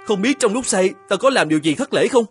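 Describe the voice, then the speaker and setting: A man voicing an earnest, evasive married painter in an animated folktale, with a polite and hesitant manner. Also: high voice, quick pace, soft background music. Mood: flustered.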